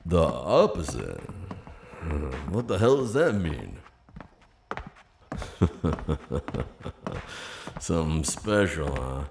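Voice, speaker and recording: Deep, gravelly, suave demon played by a man. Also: big pitch swings, noisy background, exaggerated voice. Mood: sardonic, intrigued. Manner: flirty, sardonic, hesitant pauses and drawn-out words. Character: suave, skeptical male demon buyer at a shady auction. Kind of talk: ASMR audio roleplay acting.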